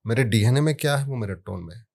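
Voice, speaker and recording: A man explaining sound therapy in a relaxed podcast conversation, telling stories from his work and leaning hard on key words. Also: medium pitch, quick pace, gravelly. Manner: storytelling with anecdotes, emphatic rises on key words.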